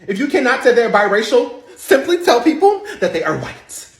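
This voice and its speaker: A performer voicing a snobbish, haughty rich housewife who talks in a shrill, indignant way. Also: very animated, moderate pace. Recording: roomy echo with faint music.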